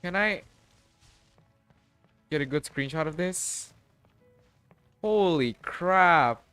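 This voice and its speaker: A man's voice: on the high side, expressive, extremely slow, pushed and bright, clear, resonant tone, dry recording, soft background music. A man reacting casually on a game livestream, making informal asides as he plays.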